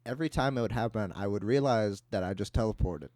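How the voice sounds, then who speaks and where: A man's voice: fairly low pitch, fast talker, darkish tone, no room sound. A young adult man co-hosting a podcast, telling anecdotes and bantering in a casual way. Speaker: podcast co-host.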